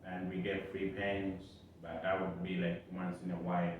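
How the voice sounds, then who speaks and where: A young man raised in Uganda, answering interview questions in a halting way with many pauses and restarts, recorded from a distant mic in an echoey room. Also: low-pitched, completely flat delivery, a little hiss or hum. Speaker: interview guest. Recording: echoey, distant, dull sound.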